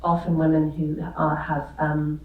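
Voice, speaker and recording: A woman panelist speaking in a quiet, measured way. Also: sits low, monotone, phone-quality sound. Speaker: panelist, author. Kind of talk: panel discussion. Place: lecture hall. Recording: very echoey hall, voice far from mic.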